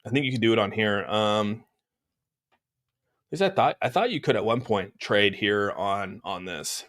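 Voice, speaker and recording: A man's voice: on the low side, moderate pace, a bit bright. A man hosting a crypto livestream, talking over charts and answering chat in a rambling, laid-back way, slightly congested. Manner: laid-back, rambling, says "dude" often. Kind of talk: casual chart talk answering live chat. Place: room at home.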